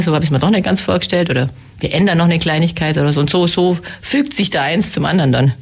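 Woman, theater makeup head; husky and gravelly, hoarse from a cold, matter-of-fact explainer. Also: sits low. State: has a cold, slightly hoarse. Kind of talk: casual interview talk.

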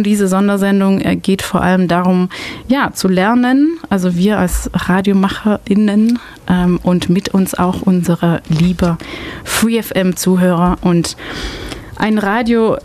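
A woman's voice: medium pitch, darkish tone. A woman hosting a community radio show in German, which is not her first language, talking in a hesitant way with many fillers and restarts. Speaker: radio host. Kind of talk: casual community radio chat. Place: radio studio.

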